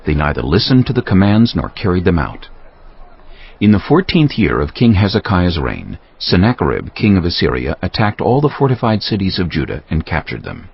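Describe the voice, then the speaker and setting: A male narrator reads scripture in a dramatic, scripted style. His low voice is gravelly and moves expressively in pitch. The audio is slightly muffled, with faint music. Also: very animated, normal speed.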